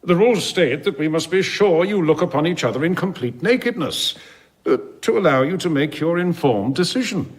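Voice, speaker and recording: A man's voice: a little high, lively intonation, moderate pace, lightly acted. An actor playing an officious male civic official in a radio drama, with a pompous, formal, commanding manner.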